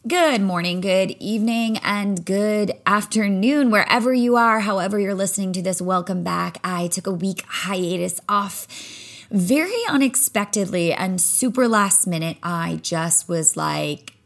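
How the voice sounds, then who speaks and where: A woman's voice: medium pitch, unhurried. A young adult woman, a spin instructor, talking candidly and casually on her solo podcast, swearing freely and acting out her own thoughts.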